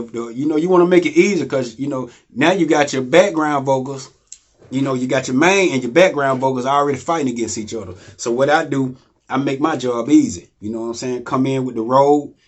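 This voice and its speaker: A man, casually explaining home studio gear in a loose, rambling way that keeps asking "you know what I mean?". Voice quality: deep voice. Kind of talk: casual how-to talk.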